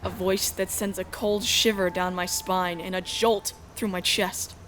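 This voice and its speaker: A woman's voice: lowish voice, steady tone, measured pace, a bit bright, slight background noise. A woman acting a young runaway heroine in a space adventure fan dub, anguished and defiant, with dramatic, emotional delivery. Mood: tense, reflective. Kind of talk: dramatic first-person narration of a visual novel. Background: music.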